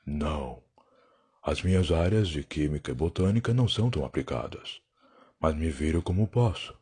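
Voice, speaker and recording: A Brazilian man playing a weary, defiant alien time-traveler in an audio drama, husky and gravelly, with a brooding, sarcastic, theatrical delivery. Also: very deep voice, animated, moderate pace, a little mellow, heavily acted. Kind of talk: dramatic first-person audio drama narration.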